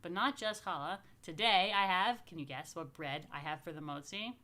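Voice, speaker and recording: A woman's voice: lowish voice, moderate pace, sharp tone. A woman rabbi hosting a family story time, chatting casually and playfully straight to young families, with informal asides and invitations to join in. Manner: addresses viewers directly with questions and invitations.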